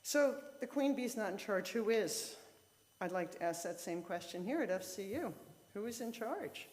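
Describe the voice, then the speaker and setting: A woman's voice: medium pitch, lively intonation, moderate pace, darkish tone. Woman giving a talk in a reverberant hall. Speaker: lay speaker at a church service. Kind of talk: informal lay sermon with slides. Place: church sanctuary, speaking to a congregation. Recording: reverberant hall with long echo.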